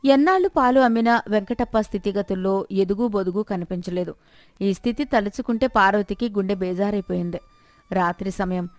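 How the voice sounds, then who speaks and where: A woman's voice: medium pitch, fairly quick, a little mellow, no room sound, faint music behind. A woman narrating a scripted moral story as a storyteller.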